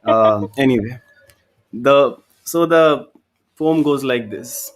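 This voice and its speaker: A man's voice: mid-range voice, slow pace. A man from India's Chambal region with a Hindi-influenced English accent, talking as a judge on a video call, hesitant with fillers and restarts. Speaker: judge and poet. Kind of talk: live online poetry event.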